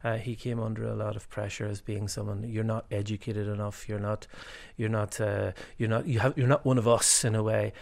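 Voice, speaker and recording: A man from Derry with a Northern Irish accent, an archbishop speaking thoughtfully with frequent pauses and fillers. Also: lowish voice, little pitch movement, creaky, darkish tone. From Derry, Northern Ireland. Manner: thoughtful, hesitant with many fillers. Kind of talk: conversational joint address.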